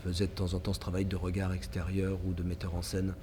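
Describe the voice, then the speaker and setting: A man's voice: low voice, completely flat delivery, fast talker, background noise. A man with a head cold, an author, actor and stage director, talking hesitantly in an interview. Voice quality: hesitant, many fillers and restarts.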